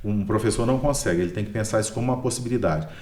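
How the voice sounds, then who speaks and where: Low-voiced Brazilian man in an echoey room. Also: racing, a little mellow. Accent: Brazilian Portuguese. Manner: tags most sentences with a questioning "né?". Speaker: history professor, interview guest. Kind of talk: relaxed explanatory talk. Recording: roomy echo.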